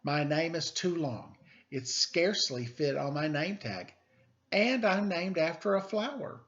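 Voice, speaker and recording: A man's voice: fairly high pitch, a little slow, a bit bright, voice pushed up. Storybook role: sensitive, downcast little girl played by a man, plaintive delivery, slightly dull recording. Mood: miserable, plaintive.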